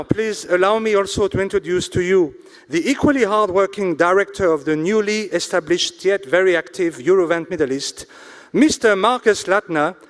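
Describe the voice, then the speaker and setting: A high-pitched male voice acts as master of ceremonies at a formal event. He introduces and thanks people in an ornate, effusively polite manner.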